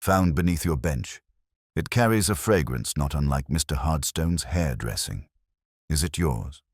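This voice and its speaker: A man voicing a gentle, dryly witty police inspector in an audiobook mystery, measured and quietly authoritative. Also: a bass voice, expressive, no room sound, clean studio sound, heavily acted. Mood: dryly wry.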